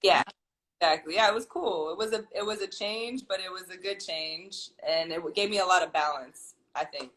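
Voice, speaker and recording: A woman's voice: lowish voice, moderate pace, a bit bright, poor audio. A woman from California, a guest on a casual interview, talking thoughtfully and rambling a little as she recalls things. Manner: thoughtful, rambling, many ums and restarts.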